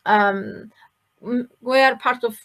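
A woman's voice: on the high side, fairly even intonation, takes it slowly, a bit bright. A woman with a Greek accent presenting her charity's services on a webinar over a video call, hesitant with many fillers and restarts.

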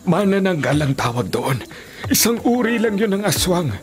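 A man's voice: high voice, big pitch swings, normal speed. A man playing a protective, fatherly older villager who explains monster lore reassuringly in a Filipino radio drama. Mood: grave, explaining. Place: small radio studio. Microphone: studio condenser microphone. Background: music bed.

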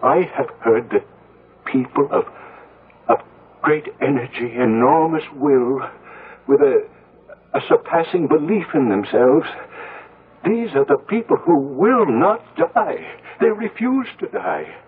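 A man's voice: a little high, big pitch swings, husky, phone-quality sound, exaggerated voice. An actor playing a hesitant, fearful, superstitious older father who stammers, in a 1970s radio drama. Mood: fearful conviction. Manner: halting, stammering. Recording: band-limited old radio broadcast.

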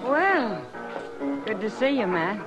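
An actress playing a friendly saloon keeper in a 1950s radio drama, frank and gently teasing. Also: female voice, medium pitch, big pitch swings, takes it slowly, loud music behind the voice.

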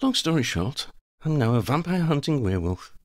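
Audiobook role: cocky, playful young huntress, read by a man, lifted pitch, very animated delivery. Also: moderate pace, dry recording, studio quality. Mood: flippant, boastful. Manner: cocky, flippant, tough-talking. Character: brash, tough, playful young woman werewolf hunter. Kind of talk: audiobook character voice.

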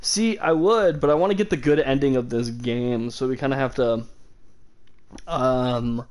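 A young man talking through a game stream on a headset mic, sarcastic and quick to poke fun at himself. His voice sits at an ordinary middle pitch, and he drops casual swears into his commentary. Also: a little mellow.

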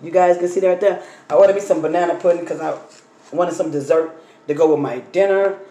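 A woman in her thirties with a slightly hoarse voice, chatting casually to her viewers while unpacking and eating a takeout meal at her table. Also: fairly low pitch, fast talker, warm tone. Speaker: vlogger. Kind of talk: casual mukbang storytime chat. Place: dining table in a home room. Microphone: phone camera on the table. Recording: echoey room with faint noise.

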